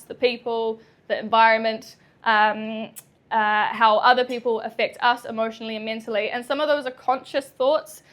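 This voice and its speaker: A woman's voice: a little high, a bit bright. Nervous New Zealand woman giving a talk. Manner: nervous, chatty, laughs often, many ums. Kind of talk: live talk to an audience. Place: indoor venue with audience.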